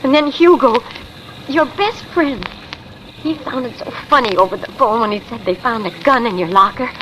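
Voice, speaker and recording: Actress as an anxious high-voiced young American wife. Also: female voice, moderate pace, a bit bright, close to an everyday voice, poor audio. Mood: worried, meek. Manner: breathless, fretful, uneducated colloquial speech. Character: anxious, simple, devoted young wife of an ex-con. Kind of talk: 1950s radio drama acting. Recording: hissy vintage radio recording.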